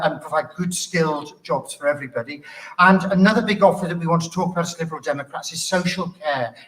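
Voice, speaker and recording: A man's voice: on the high side, fairly bright tone. A man from Wales speaking politely and formally as a candidate at a political hustings. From Pembrokeshire, Wales. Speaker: election candidate, county councillor. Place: live public hustings event. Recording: somewhat distant from the mic.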